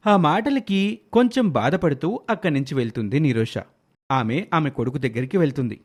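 A man's voice: on the high side, lively intonation, moderate pace, slightly rough. A man telling a scripted story as its narrator, acting out bits of dialogue.

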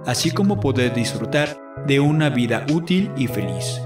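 A man's voice: mid-range voice, very animated, moderate pace. A man delivering a scripted radio intro as the show's announcer. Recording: echoey voice over music. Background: music.

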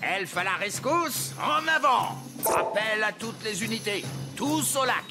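An actor playing an old, commanding elf rescue leader in a French cartoon, authoritative and theatrical. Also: male voice, high-pitched, expressive, measured pace, over music. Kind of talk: French cartoon dub acting.

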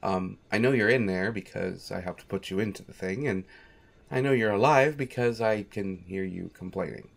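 A man's voice: fairly low pitch, moderate pace, gravelly. A man playing a devoted, patient manservant in an audio roleplay, polite and dryly wry, with hesitant phrasing. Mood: dryly amused. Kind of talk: scripted audio roleplay, speaking directly to listener.

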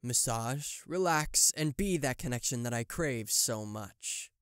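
A man's voice: mid-range voice, lively intonation, measured pace, fairly bright tone, smooth, dry recording, clean studio sound. A man voicing a possessive, smug TV-headed demon CEO in a theatrical, condescending yandere roleplay spoken to the listener. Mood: wheedling, confiding. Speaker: voice actor.

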